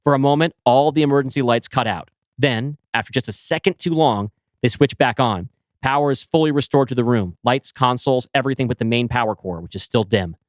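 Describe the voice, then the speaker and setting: A man's voice: medium pitch, brisk, no echo, clean studio sound. Man reading a sci-fi script. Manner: even, descriptive narration. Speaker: storyteller.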